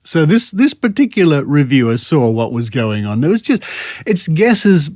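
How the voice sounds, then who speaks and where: A man's voice: a little high, big pitch swings, moderate pace, darkish tone, no echo, clean studio sound. An Australian man hosting a podcast in a casual, wryly amused, conversational way. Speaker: podcast host. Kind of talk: casual chat about psychics and scepticism.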